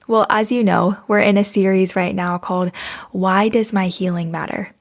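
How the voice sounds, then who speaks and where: A woman's voice: mid-range voice, steady tone, normal speed. A woman hosting a podcast, opening with a scripted introduction and then interviewing her guest in an encouraging way. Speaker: podcast host.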